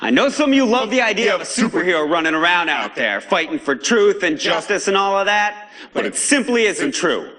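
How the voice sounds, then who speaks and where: Fiery, high-pitched rebel broadcaster. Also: male voice, very animated, a little fast, bright, forceful tone, full character voice. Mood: suspicious, indignant. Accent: American. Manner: emphatic, streetwise, preachy, swings between outrage and gravity. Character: fiery male underground broadcaster, angry, defiant, accusatory. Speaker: pirate broadcaster. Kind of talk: video game voice acting, pirate broadcast monologue.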